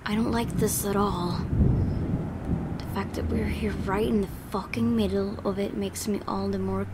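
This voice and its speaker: A woman reads horror game narration aloud as a let's play host. Her voice is medium in pitch and her delivery is storylike, with casual asides. Loud ambience runs behind her. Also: noisy background.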